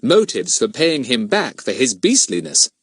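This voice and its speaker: A man voicing a confident, self-pleased schoolboy leader in a children's storybook, brisk and instructive. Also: medium pitch, very animated, husky, no room sound, studio quality. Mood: explaining.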